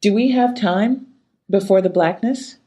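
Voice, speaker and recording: An actress playing a guarded, determined woman in an audio drama, speaking in a serious, measured, hesitant way. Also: medium pitch, dark tone, husky. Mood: guarded, pleading. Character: guarded, determined woman companion hiding a secret. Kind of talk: scripted audio drama dialogue. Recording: music behind the voice.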